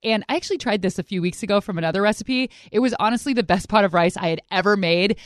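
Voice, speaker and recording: A woman's voice: mid-range voice, fast, no echo. A woman hosting and narrating a food show, moving between scripted storytelling and informal, conversational interview talk in a studio.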